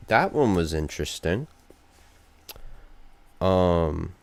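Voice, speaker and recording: A man reacts to a video in a casual, slangy way. His voice is low and his delivery halting, with a pitch that jumps around in a very lively way. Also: creaky.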